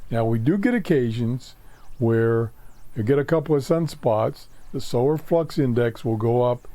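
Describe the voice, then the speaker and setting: A man hosting a casual hobby radio show from his workshop, talking off the cuff in a halting, matter-of-fact way with pauses mid-sentence. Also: medium pitch, lively intonation, mellow, no room sound. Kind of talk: casual unscripted radio demonstration. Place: home workshop.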